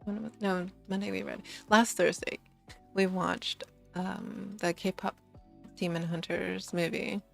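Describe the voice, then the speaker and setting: A tired woman with a slightly raspy low voice, chatting in a sleepy, giggly, rambling way as a streamer on a late-night stream. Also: level tone, slow, soft background music. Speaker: VTuber streamer.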